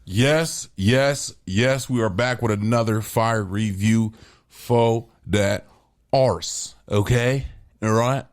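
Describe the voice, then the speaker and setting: A man's voice: lowish voice, slow pace. A man co-hosting a casual album review podcast, playful and hype, repeating words for emphasis. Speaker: podcast co-host.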